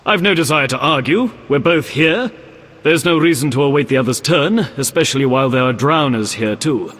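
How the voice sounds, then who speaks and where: An earnest game knight: slightly husky voice, solemn courtly phrasing with expressive swings, heard in long reverb. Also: male voice, medium pitch, brisk. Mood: proud, resolute. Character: earnest, pious, courteous male knight of an order. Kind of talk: video game character acting.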